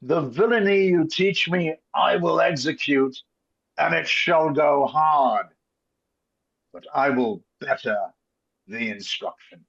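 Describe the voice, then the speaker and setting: A man's voice: on the high side, creaky, fairly bright tone, husky, full character voice. Guy doing vengeful wronged merchant from shakespeare, really drawn out and emphatic, bit dull video call sound. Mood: cold vengeance. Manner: deliberate, theatrical, emphatic declamation with pauses. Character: Shylock, bitter vengeful merchant and wronged father. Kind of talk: dramatic Shakespeare reading. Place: online video call reading. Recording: muffled video call audio.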